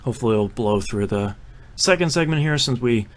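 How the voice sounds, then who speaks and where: A man hosting a casual podcast about video games, chatting and running the segments with quick asides. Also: medium pitch, expressive, a little hiss or hum. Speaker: podcast host. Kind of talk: casual chat.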